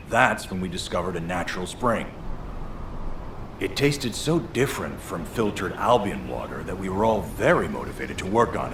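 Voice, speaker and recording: A man voicing a friendly, eager construction corps veteran in a video game, chatty and earnest, in a roomy, noisy recording. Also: low voice.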